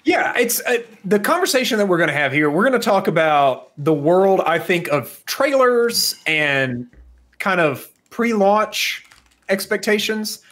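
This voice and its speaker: A man with a fairly high voice co-hosts a podcast. He speaks casually and likes to explain, building his points step by step and seeking agreement along the way. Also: sing-song, a little slow, a bit bright. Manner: explanatory, punctuates points with "right?". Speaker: podcast co-host. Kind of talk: casual chat.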